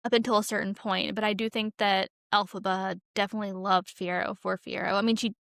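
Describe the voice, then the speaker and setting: A woman's voice: medium pitch, flat delivery, brisk, creaky, fairly bright tone, no room sound, studio quality. A young adult woman co-hosting a podcast, chatting informally and emphatically about films. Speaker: podcast co-host. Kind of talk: casual chat about films.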